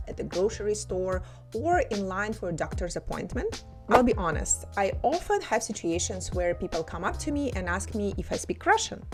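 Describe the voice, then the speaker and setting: Female language teacher, podcast lesson; slow, clear, animated delivery over faint background music. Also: medium pitch.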